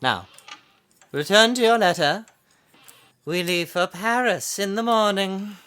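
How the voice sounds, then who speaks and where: An imperious old woman of means in a period audio drama. She is stern and disapproving, taking her time over every phrase in a smooth, clear voice. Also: medium pitch, slow, fairly bright tone. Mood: imperious, persuading.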